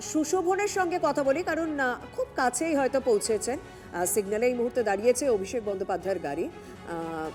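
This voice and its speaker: A woman news anchor with a West Bengal Bengali accent gives live breaking news commentary in an emphatic, halting delivery, often repeating herself. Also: fairly high pitch, expressive, darkish tone. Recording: music bed under studio voice. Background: news music.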